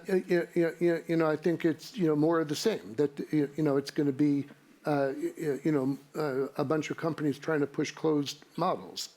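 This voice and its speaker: A man answering on a conference panel, halting, with many fillers and stuttered restarts. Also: a little high, a little mellow. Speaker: panelist investor.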